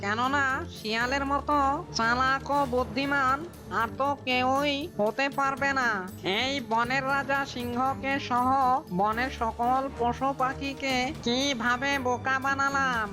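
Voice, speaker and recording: Woman voicing a high-pitched, sly cartoon fox. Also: steady tone, slow, music in the background. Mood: smug. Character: sly, boastful trickster fox.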